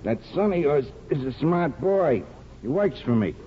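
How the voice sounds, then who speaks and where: An actor playing a smug, menacing gangster boss with tough, slangy, slyly insinuating talk in a 1950s radio drama. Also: male voice, a little high, very animated, moderate pace. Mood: smug, veiled menace. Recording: muffled 1950s radio broadcast.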